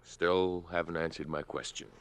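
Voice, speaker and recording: Deep, smooth radio drama suitor. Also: male voice, steady tone, normal speed, fairly bright tone. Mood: coaxing. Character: eager, smooth salesman suitor courting his girlfriend. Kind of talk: 1950s radio drama acting.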